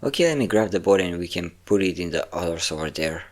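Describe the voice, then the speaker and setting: Man playing a low-voiced, possessive vampire lover. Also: expressive, fast. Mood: doting, possessive. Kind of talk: intimate scripted audio roleplay addressed to a listener.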